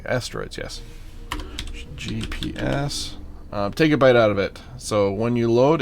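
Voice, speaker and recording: A man's voice: fairly low pitch, gravelly, noisy background. A man giving casual gameplay commentary, thinking aloud and muttering to himself with playful asides. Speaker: gaming channel host.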